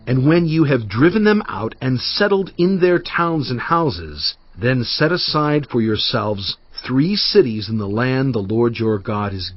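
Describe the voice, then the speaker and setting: Male scripture narrator, mid-pitched, highly animated intonation, solemn emphatic dramatized reading, faint music behind. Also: slightly rough, dull audio. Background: cinematic music.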